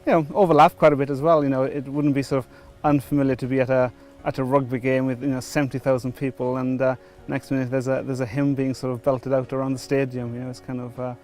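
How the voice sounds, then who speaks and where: Welsh man, middle-aged, even tone, hesitant testimony, soft music underneath. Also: mid-range voice, quick pace, few pauses. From Wales. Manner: hesitant, many fillers and restarts. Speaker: church member before baptism. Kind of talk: testimony interview.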